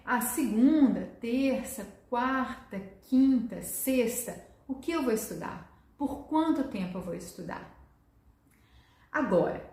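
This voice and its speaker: A middle-aged woman teaching Portuguese in a relaxed online lesson, explaining step by step and often checking in with a quick "né?" or asking questions and answering them herself. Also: fairly high pitch, reverberant.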